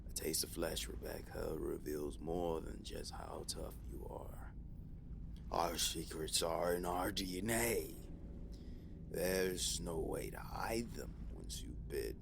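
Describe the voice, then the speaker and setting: A sadistic monster from a horror audio play, whispery and taunting. The words crawl out very slowly in a gravelly rasp. There is clear background noise behind the menace. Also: male voice, low voice, full character voice. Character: sadistic menacing male nightmare monster who tasted flesh. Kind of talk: horror audio drama acting.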